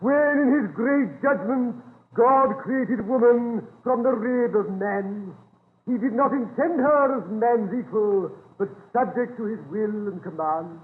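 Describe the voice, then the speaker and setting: Pompous old-time radio clergyman, very high declaiming voice, indignant, tinny hissy recording. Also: a little slow, roomy sound. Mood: righteous indignation. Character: pompous, indignant clergyman denouncing women at a convention. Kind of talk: 1930s radio drama acting.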